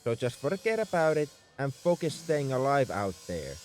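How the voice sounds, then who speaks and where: A man's voice: medium pitch, sing-song, moderate pace, darkish tone, faint music behind, close to an everyday voice. A man playing a young tsundere spaceship mech engineer in an audio roleplay, defensive about his feelings as he speaks straight to the listener. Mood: exasperated scolding. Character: gruff-caring tsundere male mech engineer, young adult. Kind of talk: ASMR roleplay acting, addressed to the listener.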